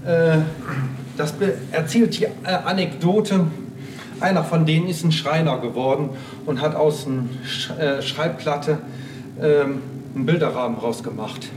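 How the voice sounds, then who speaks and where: Halting older man, slightly high, echoey room. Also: background noise. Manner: halting, repetitive, stammering restarts. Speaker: artist interviewee showing own works. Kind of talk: rambling informal talk. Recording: echoey room, music underneath.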